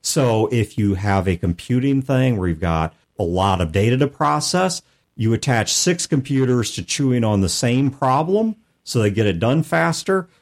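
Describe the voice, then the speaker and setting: A man's voice: mid-range voice, big pitch swings, normal speed. A man co-hosting a gaming talk show, laying out ideas step by step in casual chat. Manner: methodical, lays out ideas with examples. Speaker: podcast co-host.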